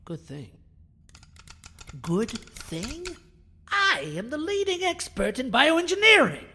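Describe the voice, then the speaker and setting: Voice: high voice, wildly swooping pitch, slow pace, pushed and bright, a little hiss or hum, exaggerated voice. An actor playing a proud, bitter alien scientist in a sci-fi audio drama, speaking in a haughty, theatrical, precise and formal way. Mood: bitter, indignant. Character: proud, bitter alien scientist who builds biohybrid creatures. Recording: music under the voice.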